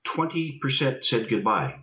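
A man reciting his own poem in a put-on Icelandic accent, measured and slow, over a video call. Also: medium pitch, sing-song, pushed and bright. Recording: roomy, dull video call audio.

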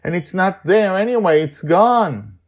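A man's voice: sits high, expressive, measured pace, pitched above the performer's own voice, exaggerated voice. A man voicing an anxious, emotional nine-year-old girl in an audio story, speaking earnestly and urgently. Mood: sad, guilty. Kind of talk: serialized audio story dialogue.

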